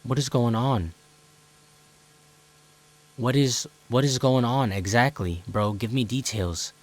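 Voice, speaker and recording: A man's voice: mid-range voice, slow. A young American man giving casual, joking reaction commentary in exclamatory slang. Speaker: host of a reaction channel.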